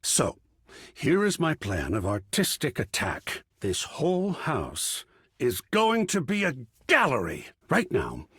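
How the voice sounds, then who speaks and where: A man's voice: medium pitch, wildly swooping pitch, slow, fairly bright tone, husky, no echo, studio quality, full character voice. A man voicing a whimsical, pretentious living artwork in a video game, with a theatrical, florid and effusive manner. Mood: earnest, courteous. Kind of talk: video game character acting.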